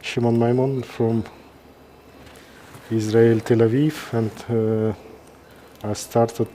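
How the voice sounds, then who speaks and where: A middle-aged man with a Kazakh accent gives informal live commentary as a surgeon, halting and pausing mid-sentence. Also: medium pitch, level tone, warm tone, a little hiss or hum. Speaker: neurosurgeon presenting a live case.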